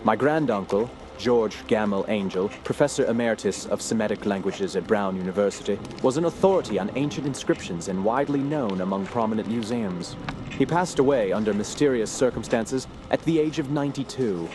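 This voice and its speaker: Amid clear background noise, a man plays a haunted scholarly heir telling his horror tale. His voice is on the low side, formal and measured, with a brooding, ominous gravity fit for a radio play. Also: expressive, natural voice. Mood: somber, reflective. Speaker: narrator of an audio drama. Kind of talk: dramatic first-person horror narration.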